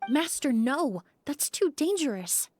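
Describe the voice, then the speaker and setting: Earnest, high-voiced young woman. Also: big pitch swings, unhurried, darkish tone, slight background noise. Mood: alarmed. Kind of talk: video game voice acting.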